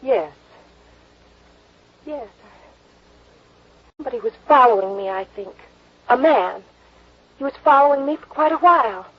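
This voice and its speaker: A dull vintage radio recording of a dazed young woman under suspicion. Her American voice is high and breathy. She speaks in a faltering, anxious, pleading way, as in 1950s radio drama. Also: lively intonation, unhurried, long pauses, full character voice. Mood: dazed, confused. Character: dazed, anxious young female murder suspect.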